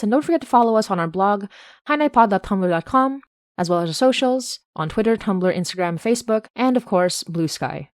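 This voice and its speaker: A woman's voice: on the low side, no echo, studio quality. A woman reading scripted podcast credits and announcements as the show's creator.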